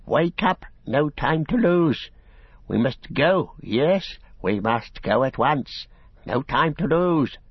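A man voicing a sly, twitchy creature in a high, hissing whisper, urgently pleading over and over in a dull, dry lo-fi recording. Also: lively intonation, slow, breathy edge, no echo, exaggerated voice. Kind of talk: audiobook character voice.

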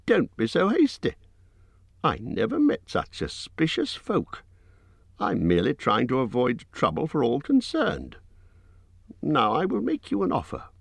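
A man voicing a small, fussy male hobbit who talks in a squeaky, hurried, apologetic way. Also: wildly swooping pitch, gravelly, breathy edge, no echo, full character voice. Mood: flustered.

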